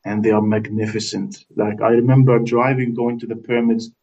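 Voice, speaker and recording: A man from Egypt, a guest answering interview questions in a hesitant, unhurried way with many fillers. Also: lowish voice, flat delivery, gravelly, darkish tone. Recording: distant, dull lo-fi sound.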